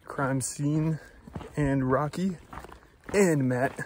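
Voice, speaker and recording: A young man from Florida, chatting casually to his phone camera while hiking a forest trail, upbeat and filling pauses with "uh". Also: fairly high pitch, darkish tone. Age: young adult. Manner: upbeat, chatty, thinks aloud with fillers. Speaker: hiker vlogger. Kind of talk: casual vlog narration. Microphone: phone at arm's length. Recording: faint outdoor noise.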